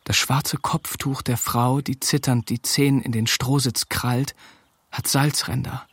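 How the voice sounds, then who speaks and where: Sober literary narration for a wartime radio drama. The voice is mid-pitched and recorded very dry, measured and detached. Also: male voice, fairly even intonation, husky, no echo. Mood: sober, observant. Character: sober male narrator describing wartime scenes.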